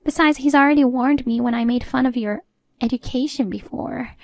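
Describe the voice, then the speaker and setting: A woman's voice: sits high, fairly quick, no echo, voice pushed up. A woman voicing a haughty, meddling middle-aged mother-in-law in a story, agitated and indignant. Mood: panicked.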